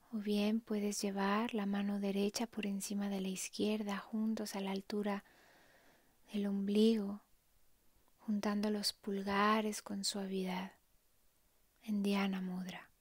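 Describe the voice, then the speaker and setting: A woman softly narrating a guided meditation in a hushed, calm, breathy way, leaving long pauses. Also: mid-range voice, dry recording.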